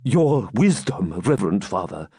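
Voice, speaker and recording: A man's voice: lowish voice, very animated, unhurried, mellow, slightly rough, no room sound, clean studio sound, full character voice. A man voicing a hypocritical preceptor of a religious house, answering in a deferential, falsely humble tone.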